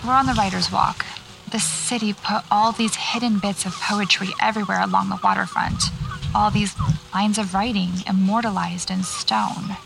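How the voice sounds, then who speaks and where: A woman's voice: steady tone, normal speed, vocal fry. An actress playing a weary, bookish woman writer in a naturalistic audio drama, recorded as if on location. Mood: happy, absorbed. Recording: noisy location-style tape with rumble.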